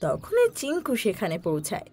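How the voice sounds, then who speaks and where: A woman's voice: mid-range voice, huge pitch swings, moderate pace, a little mellow, husky, no room sound, soft background music. A woman reading scripted narration for a children's story.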